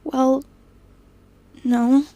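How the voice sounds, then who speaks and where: An actress playing an anxious young woman in an audio drama, hesitant and halting in her speech. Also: sits high, flat delivery, warm tone. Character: anxious young woman Ophelia, police chief's daughter, pleading.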